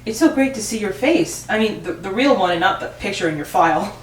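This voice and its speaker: A woman's voice: mid-range voice, expressive, brisk, lightly acted. A woman playing a friendly, chatty factory boss in an amateur table read, talking in an upbeat, rambling way. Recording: roomy, distant mic, faint noise.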